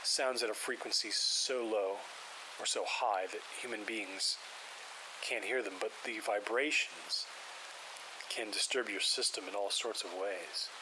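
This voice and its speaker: A man playing an aging, calm and methodical parapsychologist in an audio drama, explaining things in a measured way. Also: fairly low pitch, natural voice. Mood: explanatory. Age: older. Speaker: paranormal investigator. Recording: steady hiss and rain behind.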